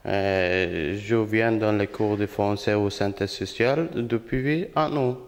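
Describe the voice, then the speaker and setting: A man's voice: fairly low pitch, slow pace. A man from Afghanistan with an Afghan accent, learning French and answering hesitantly in short phrases. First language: not French. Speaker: language course student. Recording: long hall reverb.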